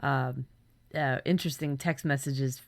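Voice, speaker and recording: A woman's voice: rumbling low, steady tone, a little slow. A woman in her thirties co-hosting a casual writing chat, sharing story ideas in a playful, joking way. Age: 30s. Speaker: writer and co-host.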